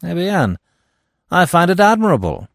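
A man voicing an imperturbable, confident French police detective in an audiobook, with a smug, crisply assertive manner. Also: a little high, wildly swooping pitch, a little slow, a little mellow, dry recording, studio quality, voice pushed up, full character voice. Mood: cool, self-assured.

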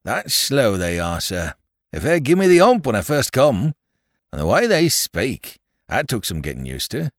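A man putting on a cheery Cockney landlord in his fifties who chatters eagerly in a lively, bouncing voice, with dropped grammar and a raised pitch. The recording is dry and close. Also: normal speed, dry recording. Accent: London Cockney. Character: cheery Cockney male landlord, about fifty-five.

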